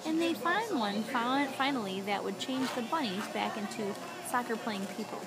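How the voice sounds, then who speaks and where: A woman with a fairly high voice tells a made-up children's story. She is animated and encouraging, drawing the story out with questions and repeating what she hears. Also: normal speed, low-quality recording. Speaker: storyteller prompting a young child.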